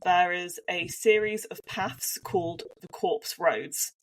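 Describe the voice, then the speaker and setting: A woman's voice: fairly low pitch, takes it slowly. Thoughtful woman with a Devon accent. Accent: Devon English. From Devon, England. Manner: thoughtful, hedging with many restarts. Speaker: podcast co-host. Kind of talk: casual conversational podcast.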